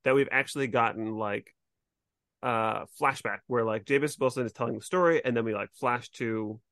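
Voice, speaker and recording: A man's voice: medium pitch, normal speed, a bit bright, no echo. Dry-sounding male podcast host. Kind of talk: casual discussion.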